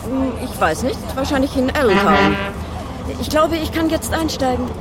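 An actress in a crime radio play playing a cool, businesslike and sardonic wife. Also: female voice, sits high, very animated, normal speed, over a noisy crowd. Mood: indifferent. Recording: noisy outdoor bus station with vehicles.

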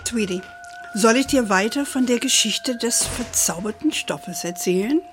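An actress playing a spirited old grandmother who tells family history in a measured way in a children's radio play. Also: a little high, animated, over music. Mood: fond, inviting. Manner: unhurried, measured storytelling. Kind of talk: children's radio drama storytelling.

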